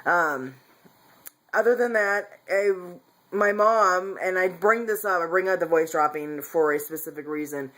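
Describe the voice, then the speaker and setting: A man's voice: sits high, unhurried, bright, forceful tone. A man talking candidly in a casual personal vlog, rambling with frequent restarts and self-corrections. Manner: candid, confessional. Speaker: vlogger.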